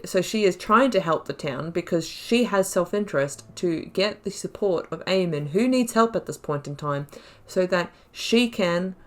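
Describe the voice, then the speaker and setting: A woman gives casual commentary as the host of a gaming video. Her voice sits a little low. She thinks aloud expressively, leaning on emphatic repetition.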